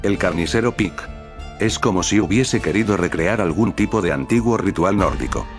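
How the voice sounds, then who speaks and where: A man narrating a scripted horror recap over dark music. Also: low voice, lively intonation, moderate pace. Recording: background music under the narration.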